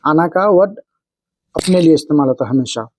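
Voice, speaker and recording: A man giving a casual spoken language lesson, repeating each example phrase slowly and explaining it word by word. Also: on the high side, lively intonation, a little mellow. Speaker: Arabic language teacher. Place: Saudi Arabia.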